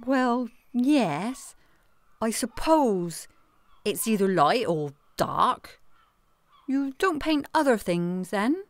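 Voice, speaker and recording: A young Victorian island girl of nineteen, played by an actress in a period radio drama. She is frank and hard to impress. Her voice is fairly high with lively swings, unhurried and closely recorded. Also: no echo, full character voice. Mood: curious, unimpressed. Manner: blunt, matter-of-fact.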